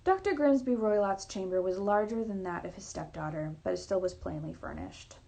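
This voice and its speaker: A woman's voice: medium pitch, lively intonation, fairly quick, creaky, warm tone. A woman narrating a classic story aloud, expressively and with the occasional stumble over words. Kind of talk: read-aloud literary narration.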